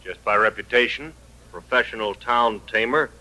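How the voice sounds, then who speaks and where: An actor playing a steady, plain-spoken deputy marshal in an old radio western, clipped and matter-of-fact. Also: male voice, medium pitch, moderate pace, low-quality recording. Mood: firm, matter-of-fact. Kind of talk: 1950s radio drama acting.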